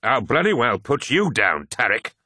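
A man voicing a grim, belligerent warrior captain who speaks in blunt, forceful declarations, in an audiobook style. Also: mid-range voice, very animated, normal speed, slightly rough, no room sound, clean studio sound, pitched above the performer's own voice, exaggerated voice. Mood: combative.

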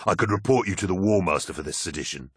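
A man's voice: sits low, animated, quick pace, a bit bright, slightly rough, dry recording, clean studio sound, exaggerated voice. A man voicing a solemn, practical soldier in an audiobook, arguing his point in an insistent, reasoning manner. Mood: stern. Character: solemn, argumentative male soldier, practical and stern.

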